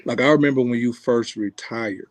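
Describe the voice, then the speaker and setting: A man's voice: mid-range voice, moderate pace. A man hosting a podcast in an easygoing way, full of fillers and addressing the audience as y'all. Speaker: podcast host. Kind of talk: casual chat. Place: podcast studio.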